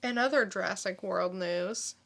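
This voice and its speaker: Slow-paced female podcast co-host. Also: mid-range voice, gravelly, a bit bright. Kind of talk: casual chat and informal review.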